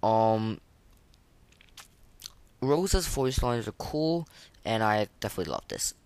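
Casual podcast host, medium pitch, rambles and repeats, stop-start delivery, close dry recording. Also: very animated, darkish tone, clear, resonant tone, no room sound. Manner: rambling, halting, repeats words and phrases. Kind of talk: casual chat rating game voice lines.

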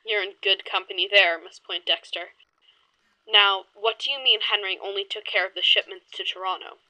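Actress doing a earnest investigator woman, very bright sharp voice, brisk direct questions. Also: medium pitch, steady tone, low-quality recording. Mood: probing. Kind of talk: audio drama acting.

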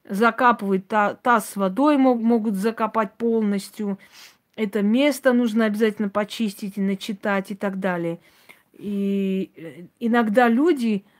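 Blunt, conversational woman. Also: medium pitch. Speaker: host, self-described witch. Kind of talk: informal talk to live audience, answering comments.

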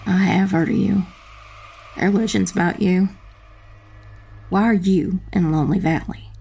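Woman as a husky, very creaky witch narrator. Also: medium pitch, steady tone, warm tone. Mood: wary challenge. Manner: measured, dramatic storytelling. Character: determined female witch narrating her own perilous hunt. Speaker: audiobook narrator. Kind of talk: first-person dark fantasy audiobook narration. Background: music.

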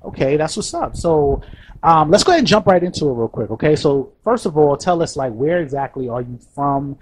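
A man's voice: on the high side, lively intonation, a little fast, breathy edge, rough recording. A man hosting a podcast interview, teasing and joking in a loose, casual way. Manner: teasing, keeps saying "you know what I'm saying". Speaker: podcast host.

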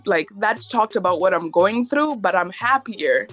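A woman's voice: mid-range voice, animated, normal speed, a bit bright, highs cut off, soft background music. A young woman, a recording artist, chatting casually in an interview and filling her talk with "like" and easy laughs. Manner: chatty, lots of "like" fillers, laughs easily.

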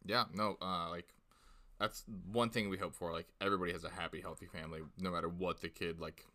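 A man's voice: low voice, steady tone, a little fast, pushed and bright. A man in his thirties hosting a podcast, chatting casually with lots of fillers and easy laughter. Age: 30s. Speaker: podcast host.

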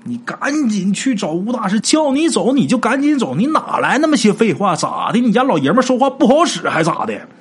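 A man voicing a quick-witted young man who barks urgent, raised commands. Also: high voice, sing-song, slightly rough, voice pushed up, heavily acted. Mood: scolding.

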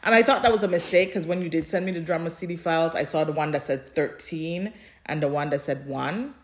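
A woman's voice: low voice, fairly quick. A woman co-hosting a podcast in casual chat, speaking emphatically and repeating phrases for emphasis. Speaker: podcast co-host.

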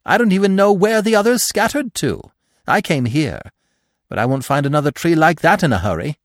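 A man voicing an excitable, gossipy little male squirrel with a shrill, eager voice. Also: fairly quick, no echo, clean studio sound. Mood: plaintive. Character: excitable chattering male squirrel, small and nosy.

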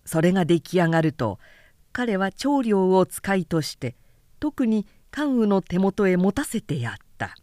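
A woman professional narrator reading a literary story in a deliberate, expressive, dramatic style. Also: medium pitch, no room sound, studio quality.

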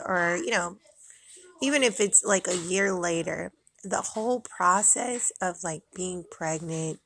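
A young woman speaks in a raspy, fairly low voice with a gravelly fry. She talks candidly and loosely, drifting with fillers. The recording is noisy, with children playing loudly behind her at home. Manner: rambling, candid, with fillers and laughs. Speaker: mother vlogging about postpartum. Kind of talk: casual personal talk.

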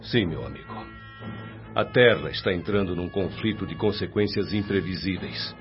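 An actor plays a grave, formal spirit in a Brazilian radio drama. The man's voice is deep. The recording is a bit muffled, with clear music behind. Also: normal speed, close to an everyday voice. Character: grave male spirit colleague announcing war.

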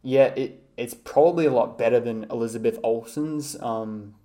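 A man's voice: medium pitch, normal speed, dark tone. A man co-hosting a casual film rewatch podcast, talking hesitantly with many ums and likes. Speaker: podcast co-host.

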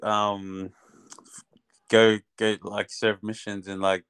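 A man's voice: fairly low pitch, level tone, slow, fairly bright tone, smooth. A man from Australia with a lightly New Zealand-tinged accent, chatting casually as a podcast guest in a laid-back, slangy way. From Australia (Melbourne). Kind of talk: casual chat.

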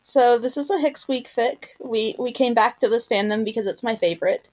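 A woman's voice: a little high, normal speed, low-quality recording. Casual woman podcast host, chatting informally. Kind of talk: casual podcast chat about fan fiction.

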